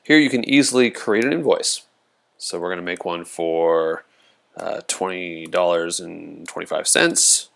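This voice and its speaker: A man presenting a casual software walkthrough as a product demonstrator, explaining things informally step by step. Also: low-pitched, unhurried, vocal fry. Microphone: voice-over recorded over a screen demo.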